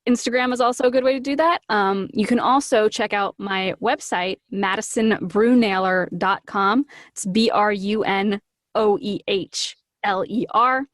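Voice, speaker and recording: A woman's voice: medium pitch, normal speed, no echo, studio quality. A woman voice actor as a podcast guest, chatting casually and enthusiastically about her work. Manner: bubbly, enthusiastic, breaks into acted quotes. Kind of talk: casual, animated interview chat.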